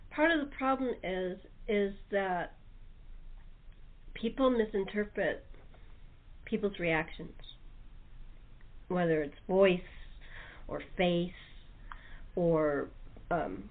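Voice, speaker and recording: A woman's voice: lowish voice, slow, long pauses. A woman hosting a casual panel chat, talking informally and in a rambling way as she prompts others. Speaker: host and radio-play producer. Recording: phone-like narrow-band sound.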